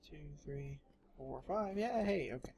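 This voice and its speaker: A man's voice: a little high, animated, unhurried, a little mellow, faint music behind. A man from Texas with a Texan accent gives casual, rambling gameplay commentary as a video host, jokey and self-deprecating, often talking to himself. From Texas, United States. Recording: home recording with faint background noise.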